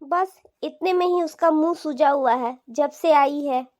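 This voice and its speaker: A woman's voice: sits high, unhurried, darkish tone. A woman voicing a scornful, bossy middle-aged mother-in-law with a mocking, dismissive way of talking in a cartoon family drama. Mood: dismissive gossip. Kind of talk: animated family drama dialogue.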